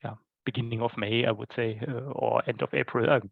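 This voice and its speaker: A man's voice: medium pitch, steady tone, a little fast, vocal fry, no echo. Man on a muffled work call. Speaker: software working group participant. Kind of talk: casual technical meeting talk. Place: online group call. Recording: muffled, dull online call audio.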